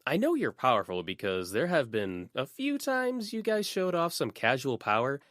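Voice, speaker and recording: A man's voice: mid-range voice, wildly swooping pitch, moderate pace, a bit bright, dry recording, clean studio sound, voice pushed up. A man voicing a nosy, insistent teenage schoolgirl who presses with probing questions in an audiobook. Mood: suspicious, demanding.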